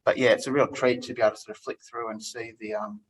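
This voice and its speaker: A man's voice: mid-range voice, level tone, quick pace, a little hiss or hum, poor audio. Easygoing man hosting a gallery talk. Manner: easygoing and encouraging, with frequent fillers. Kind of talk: relaxed interview conversation.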